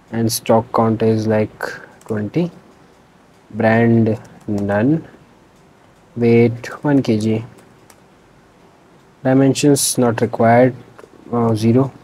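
A man's voice: mid-range voice, slow, pauses often, dark tone, low-quality recording. A young man casually walking through a website demo from his room, talking loosely with restarts. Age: young adult. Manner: loose, unscripted, with fillers and restarts. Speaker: student presenting a project. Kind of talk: casual screen-recorded demo walkthrough. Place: small indoor room.

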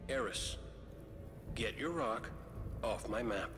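An actor playing a cocky, roguish robot scout in a video game, with a flippant, sardonic way of talking. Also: male voice, mid-range voice, animated, slow pace, music in the background. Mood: flippant, irritated. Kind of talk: cinematic game acting.

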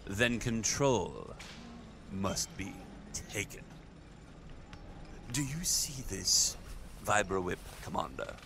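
An actor playing a sadistic Imperial overseer in a sci-fi audio drama, imperious and theatrical, with slow deliberate emphasis. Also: male voice, lowish voice, expressive, vocal fry, noisy background, exaggerated voice. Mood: coldly menacing. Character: sadistic, menacing male Imperial overseer commanding a slave camp.

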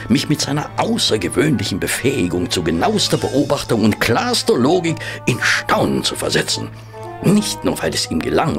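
An actor playing a loyal, easily amazed Victorian gentleman companion in an audio drama, speaking in a hesitant, musing, expressive way. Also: lowish voice, creaky, exaggerated voice. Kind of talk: German audio drama dialogue and narration.

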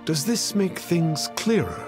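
A man's voice: on the high side, big pitch swings, slow pace. A man voicing a lofty, pompous self-styled immortal master in a video game, speaking in theatrical, archaic formal phrases. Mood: grandiose. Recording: faint game music behind.

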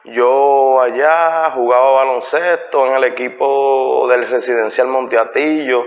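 A man's voice: mid-range voice, steady tone, slow. Laid-back Puerto Rican man, echoey room. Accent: Puerto Rican Spanish. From Puerto Rico. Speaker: guest, musician. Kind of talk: casual interview. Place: bright living room with large windows. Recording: lo-fi sound with long room reverb.

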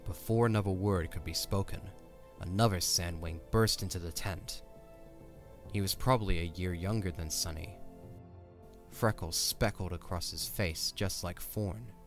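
A man's voice: sits low, normal speed. A man narrating a scripted story for an audio drama. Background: music.

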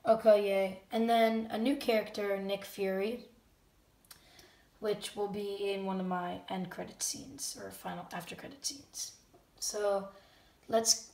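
A channel host talking casually and unscripted to viewers at home, a bit halting and often self-correcting. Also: mid-range voice. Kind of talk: casual unscripted talk to camera audience. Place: indoor room at home. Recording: roomy, distant microphone.

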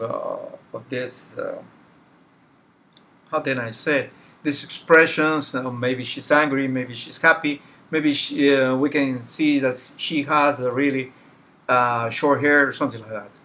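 A middle-aged man whose first language is Spanish talks through a live drawing stream with a bright, forward tone. His English carries a Spanish accent, and he pauses often to hunt for words, filling the gaps with "uh" and "like." He sounds like he is at a desk in a small home room. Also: mid-range voice. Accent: Spanish-speaking. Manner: halting, searching for words, many fillers. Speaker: artist streaming a drawing session. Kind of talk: casual live-stream commentary while sketching.

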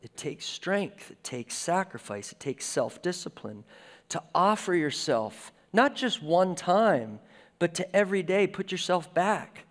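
A man's voice: sits high, measured pace. A man in his forties preaching a sermon from a church stage, in a conversational style. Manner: conversational preaching with lively gestures. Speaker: pastor. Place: church stage with a lectern and guitars. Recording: reverberant church hall.

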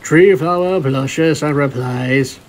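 A man's voice: fairly high pitch, slow pace. A man narrating a cartoon fan fiction romance, reading it out like a story. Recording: faint noise behind the voice.

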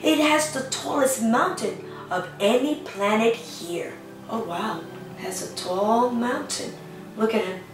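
A woman, perhaps in her thirties, a preschool teacher talking to small children in an animated, simple way with lots of questions. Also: on the high side, measured pace. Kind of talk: children's lesson and read-aloud. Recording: roomy, echoing, distant microphone, faint music.

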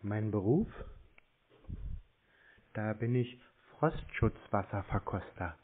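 Man, low voice, mocking deadpan prank-caller banter, thin phone-line sound. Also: slow, husky. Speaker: host of a scam-baiting show, prank caller. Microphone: phone call.

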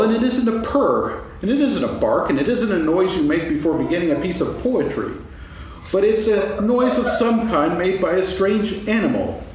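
An actor playing a gentle, simple-minded, kindly bear in a staged reading of a children's story, in an echoey hall with faint hiss. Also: male voice, high voice, recorded from a distance. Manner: gentle, musing, polite.

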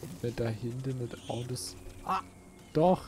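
A man hosts a casual let's play at an unhurried, slow pace, with an average-pitched voice. He mutters and grumbles at the game with some mild swearing. There is clear noise behind his voice. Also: lively intonation. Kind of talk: casual video game commentary.

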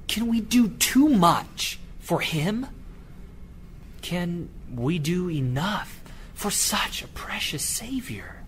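An actor playing a devout, burdened missionary preacher in an audio drama, grave and fervent in manner. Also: male voice, fairly high pitch, a bit bright, a little hiss or hum, heavily acted.

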